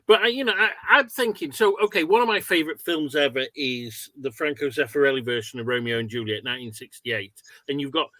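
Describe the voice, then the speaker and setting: A man's voice: mid-range voice, lively intonation, fairly quick, bright, forceful tone, dry recording. A man hosting a casual online interview, asking wordy, hesitant, self-deprecating questions. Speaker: webinar host and interviewer.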